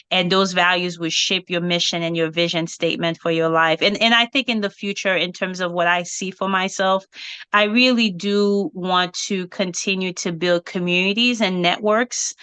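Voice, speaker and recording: A woman's voice: on the low side, bright, forceful tone, clean tone, no echo. A woman who grew up in Haiti and speaks French as her first language, giving thoughtful interview answers with frequent ums and you-knows. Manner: thoughtful, many fillers like um and you know. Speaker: guest, writer and editor.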